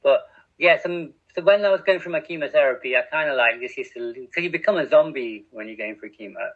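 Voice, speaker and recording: A man's voice: medium pitch, brisk. A middle-aged man from England, speaking openly and reflectively as a podcast guest, often restarting his sentences and laughing at himself. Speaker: podcast guest, radio community editor. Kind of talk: candid conversational interview. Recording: tinny phone-like line.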